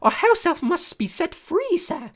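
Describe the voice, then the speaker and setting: A woman voicing a tiny, servile male house elf with a high-pitched squeak, groveling and tearful in an audiobook reading. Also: sing-song, measured pace, heavily acted. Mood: earnest pleading. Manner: groveling, wailing, overly formal.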